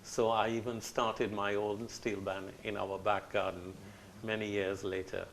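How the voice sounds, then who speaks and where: An older man, answering as a guest in an interview and telling his story in a measured, reflective way. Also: on the low side, level tone, a bit bright, a little hiss or hum, rough recording. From Trinidad.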